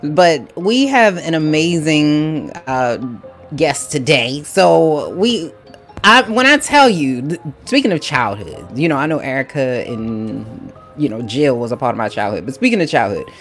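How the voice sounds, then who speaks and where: A woman from Baltimore co-hosting a casual chat show, animated and laughing often. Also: deep voice, measured pace, faint music behind. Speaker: podcast co-host.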